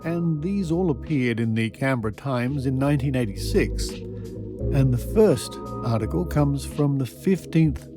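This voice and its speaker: A man hosting a podcast, reading articles aloud with measured, deliberate phrasing. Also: medium pitch, lively intonation, music in the background. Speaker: podcast host.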